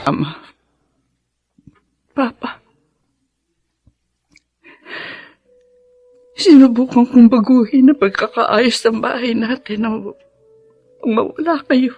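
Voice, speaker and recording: A woman's voice: fairly high pitch, breathy edge, dry recording, lo-fi sound, heavily acted. A woman playing a tearful, tender, ailing mother in a radio drama. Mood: sorrowful. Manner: tearful, tender, drawn-out delivery. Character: ailing, loving mother in pain, tearful, weary. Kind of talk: Filipino radio drama acting.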